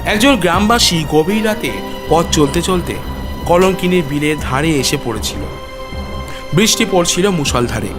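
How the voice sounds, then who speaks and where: A man narrates a suspense story in a dramatic, literary style. His voice sits a little high, and music plays clearly behind it. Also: expressive, moderate pace.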